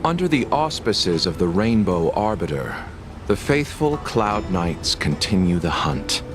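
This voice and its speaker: A man's voice: low voice, sing-song, a little slow, music in the background. A man voicing a solemn, formal questioner in a video game, with a weighty, rhetorical way of speaking. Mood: solemn, pointed. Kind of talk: dramatic game dialogue.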